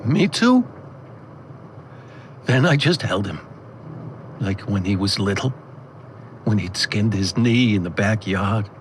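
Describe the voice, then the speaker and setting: A man narrating a scripted audio drama in the first person with wistful, expressive storytelling. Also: lowish voice, measured pace, pauses often, a little hiss or hum.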